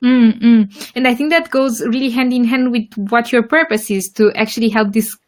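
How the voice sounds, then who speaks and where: Young woman, podcast host. Bubbly and chatty, somewhat high voice, lots of "like" and laughs. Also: steady tone, moderate pace. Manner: bubbly, laughs often, many "like" fillers. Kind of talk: casual interview.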